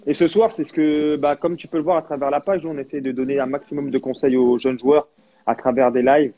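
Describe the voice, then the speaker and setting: A man's voice: medium pitch, fairly even intonation, a little fast, warm tone. A man hosting a football live stream, talking casually with his guest and repeating words for emphasis.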